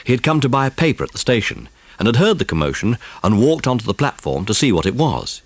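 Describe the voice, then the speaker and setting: A man's voice: medium pitch, sing-song, fast, husky, dry recording. A man narrating a dramatised children's storybook. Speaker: audiobook narrator.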